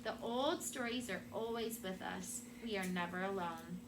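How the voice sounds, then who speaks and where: A wise enchantress from a children's storybook, read by a woman. She speaks at a medium pitch, calm and measured, with a reassuring manner. Noise is clearly audible in the recording. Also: close to an everyday voice. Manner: soft-spoken, measured, reassuring. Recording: clearly noisy room recording.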